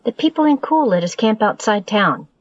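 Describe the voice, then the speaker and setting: A woman's voice: medium pitch, lively intonation, normal speed, dull audio, lightly acted. An actress playing a kind, caring woman traveler who talks in a friendly way.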